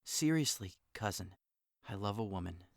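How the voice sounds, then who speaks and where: A man's voice: on the low side, animated, normal speed, dry recording. A brooding, lovesick young man, played by an actor in an audio drama. He sighs and lingers over his sorrow, then rises into passionate outbursts. The recording is dry and close. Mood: melancholy. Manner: sighing, wistful, swings into passionate outbursts.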